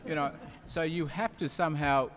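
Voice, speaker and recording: A man's voice: fairly high pitch, steady tone, moderate pace, fairly bright tone, a little hiss or hum. A man, speaking earnestly as a panelist in an echoey hall. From Australia, part of childhood in Turkey. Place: large indoor event hall. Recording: echoey hall public address sound.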